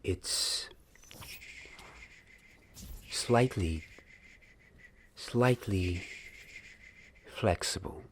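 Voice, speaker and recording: Casting: young man as kindly fantasy wand maker and mentor, low soft breathy voice, unhurried hesitant delivery, ASMR roleplay. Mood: curious, approving. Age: young adult. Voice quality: soft, breathy, partly whispered. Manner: slow, hesitant, deliberate. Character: gentle, patient male wand maker mentoring an apprentice. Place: small dim indoor room. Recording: clear background noise and ambience.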